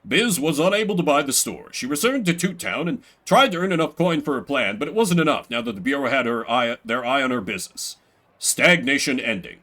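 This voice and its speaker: A man voicing a solemn storybook narrator in a video game, reading in a measured way. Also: mid-range voice, lively intonation, pushed and bright. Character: solemn storybook narrator of a game's bad ending.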